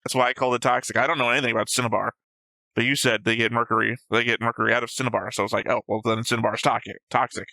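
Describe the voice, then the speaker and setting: A man with a bright, forceful voice, recorded close and dry. He talks casually and argumentatively, picking a story apart point by point, with some swearing. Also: mid-range voice, level tone, racing, vocal fry, no echo, rough recording. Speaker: host of an anime reaction show. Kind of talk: casual discussion and analysis of an anime episode.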